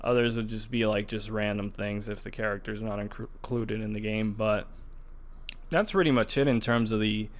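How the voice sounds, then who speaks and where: A man's voice: fairly low pitch, fairly even intonation, moderate pace. A man hosting a podcast, casually discussing gaming news in a conversational way, often restarting his phrases. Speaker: podcast host.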